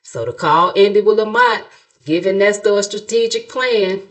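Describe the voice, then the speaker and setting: A woman's voice: medium pitch, normal speed. A middle-aged American woman giving sassy, gossipy reaction commentary as a host, in a colloquial, playfully mocking, dramatic storytelling way. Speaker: YouTube commentary host, narrator.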